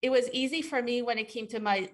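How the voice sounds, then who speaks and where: A woman's voice: fairly high pitch, fairly even intonation, quick pace. A woman from Ecuador, a guest in an interview, answering thoughtfully with frequent fillers and restarts.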